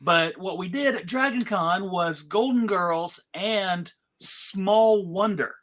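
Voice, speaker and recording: A male panel host with a bright, cutting voice. He takes his time as he talks casually and playfully, introducing and chatting on a video call. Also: high voice, slow. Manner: playful, jokey. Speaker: panel host and convention track co-director.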